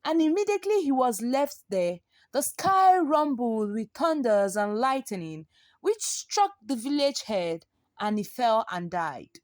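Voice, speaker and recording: Female storyteller, higher-pitched, very lively intonation, dramatic folktale delivery. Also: no echo. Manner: expressive, with gasps and drawn-out repeated words for effect.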